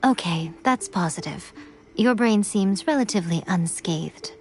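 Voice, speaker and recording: A composed, matter-of-fact shrine maiden. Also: female voice, medium pitch, lively intonation, measured pace. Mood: brisk, reassuring. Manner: matter-of-fact, self-assured. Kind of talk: video game character acting. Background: background music.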